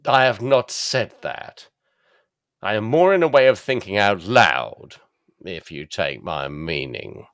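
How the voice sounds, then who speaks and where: An actor playing a dogged, plain-spoken police detective in a gothic audio drama, formal and deferential. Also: male voice, lowish voice, lively intonation, slow, a bit bright, dry recording, studio quality, heavily acted. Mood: earnest, suspicious.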